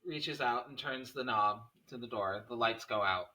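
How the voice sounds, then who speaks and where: A man's voice: mid-range voice, moderate pace, pushed and bright. A man reading stage directions aloud as narrator of a screenplay table read.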